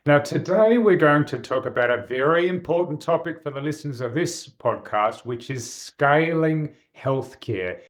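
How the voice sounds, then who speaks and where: Upbeat podcast host. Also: male voice, fairly high pitch, expressive. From Australia. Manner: brisk, upbeat question-asking. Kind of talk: interview podcast.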